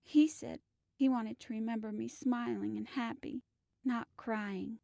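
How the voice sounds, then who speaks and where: High-voiced, guarded teenage girl. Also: fairly even intonation, measured pace, creaky, warm tone, no echo, studio quality. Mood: defensive. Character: guarded, defiant teenage girl protecting her boyfriend. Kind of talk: audio drama acting.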